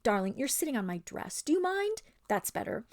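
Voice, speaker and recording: A woman voicing a loud, frivolous young noblewoman in a storybook read-aloud, with a shrill, drawling, gushing voice. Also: big pitch swings, a little fast.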